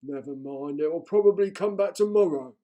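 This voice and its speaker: A man's voice: muffled recording, natural voice. A man voicing a simple, hungry male troll who talks in a small, timid voice. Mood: eager. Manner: small high voice, drawn-out.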